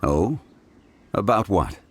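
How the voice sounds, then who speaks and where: An actor playing an ancient, dignified god in a video game, speaking in a measured, formal and solemn manner. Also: male voice, on the low side, animated. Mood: calm. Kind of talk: video game character acting. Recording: music playing behind the voice.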